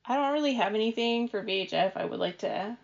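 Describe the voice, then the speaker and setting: A woman's voice: a little high, lively intonation, fast, vocal fry, muffled recording. A woman speaking as a guest, giving casual advice in an informal, matter-of-fact way.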